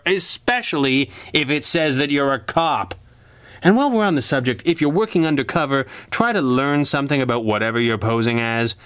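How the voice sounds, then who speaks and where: A man's voice: on the high side, a bit bright, clean tone, no room sound, pitched above the performer's own voice. A man voicing a seasoned gangland undercover man in a crime story, with a smug, casual, needling manner.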